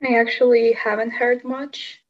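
Slow, flat-voiced woman, unsure. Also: fairly high pitch, muffled recording. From Lithuania. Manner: hesitant, unsure. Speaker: discussion participant.

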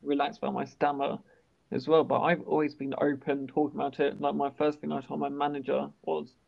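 A man's voice: fairly high pitch, little pitch movement, fairly quick, creaky. A young man hosting a podcast interview, talking casually and openly while he stammers and restarts words. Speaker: podcast host. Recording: dull lo-fi sound.

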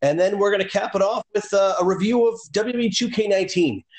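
A man's voice: high voice, fast, a little mellow, echoey room. A man co-hosting a casual wrestling podcast, rambling with lots of fillers and restarts. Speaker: podcast co-host. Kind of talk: casual chat.

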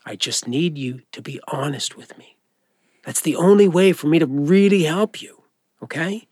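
Friendly doctor, higher-pitched, reassuring. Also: male voice, moderate pace, slightly rough, dry recording, clean studio sound. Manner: informal, encouraging, gently coaxing. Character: friendly, reassuring male doctor counselling a patient. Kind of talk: naturalistic audio drama acting.

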